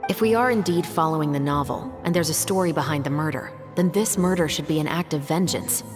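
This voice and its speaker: A woman playing a composed, serious investigator and patrol captain in a video game, speaking in a measured, articulate way. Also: sits low, over music, natural voice. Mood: measured, thoughtful.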